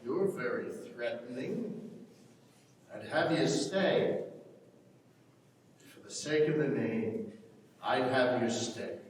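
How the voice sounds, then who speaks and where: A man's voice: mid-range voice, expressive, slight background noise. An actor playing a stern, curt old farmer and father in a staged play reading. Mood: guarded, proud. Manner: deliberate, curt, authoritative. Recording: echoey hall, distant microphone.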